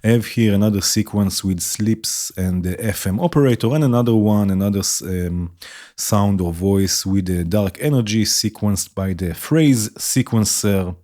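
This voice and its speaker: A man hosting a modular synth tutorial, explaining each step casually and often checking in with "right?". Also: on the low side. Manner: relaxed, explaining while patching, frequent "right?" checks. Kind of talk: casual demo narration. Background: synth music playing.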